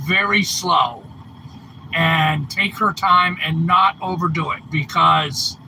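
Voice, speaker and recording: Slow-talking gravelly man in a truck. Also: on the high side, sharp tone, slight background noise, low-quality recording. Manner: rambling, chatty, fumbling with gear. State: congested, recovering from a cough. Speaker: homestead channel host. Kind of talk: casual live stream chat. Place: inside a truck. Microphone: phone camera held in hand.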